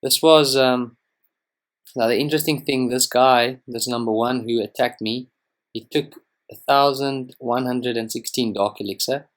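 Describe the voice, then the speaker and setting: A young man whose first language is Afrikaans gives relaxed, rambling commentary over strategy game footage, with frequent ums and restarts. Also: mid-range voice, a little slow. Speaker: gaming channel host. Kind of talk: casual gameplay commentary.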